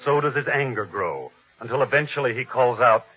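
A man narrating an old-time radio drama in a solemn, measured, dramatic announcer's style. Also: mid-range voice, lively intonation, bright, forceful tone, husky. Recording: dull vintage radio recording with music.

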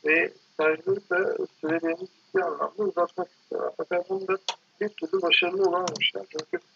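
A man talking casually and reflectively in an interview, halting and digressive, often correcting himself. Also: sits high, steady tone, vocal fry, lo-fi sound, background noise. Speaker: architect guest being interviewed.

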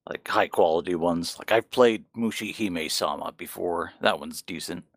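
A man's voice: low-pitched, moderate pace, no echo, clean studio sound. A man co-hosting a podcast in casual chat about video games. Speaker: podcast co-host.

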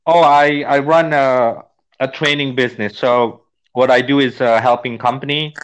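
A man's voice: mid-range voice, steady tone, smooth, poor audio. An Indonesian man with an Indonesian accent, a business trainer chatting on a call and speaking with frequent fillers and restarts. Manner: halting, many fillers and restarts. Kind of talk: casual conversation.

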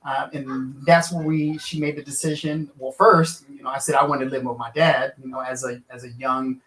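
A man's voice: mid-range voice, little pitch movement, moderate pace, fairly bright tone. A man from Kansas, an author and lawyer, telling his life story in a casual interview, rambling with lots of fillers and restarts. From Kansas, USA. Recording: echoey room.

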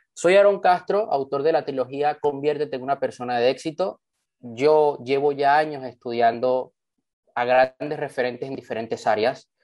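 A young man from Venezuela giving an online training talk over a video call, earnest and enthusiastic as he tells his story. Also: medium pitch. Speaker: motivational trainer.

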